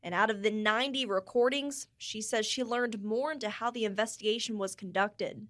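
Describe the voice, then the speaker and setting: A woman with a somewhat high voice narrates a television news package. She reads scripted copy in the style of a field reporter. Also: normal speed, a bit bright.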